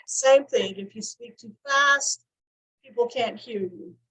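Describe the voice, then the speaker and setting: A woman counselor on a talk show, explaining points in measured, listed steps. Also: on the high side, sharp tone, slightly rough, poor audio. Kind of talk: teaching talk show discussion.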